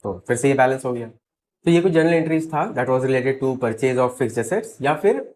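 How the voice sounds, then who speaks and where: An Indian man gives a lesson in a medium-pitched, slightly hoarse voice. He explains like a teacher, one step at a time, and often poses a question before answering it. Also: animated, unhurried, darkish tone. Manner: step-by-step, checks in with rhetorical questions. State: slightly hoarse, sore throat. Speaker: accountancy teacher. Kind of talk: explanatory lesson mixing Hindi and English.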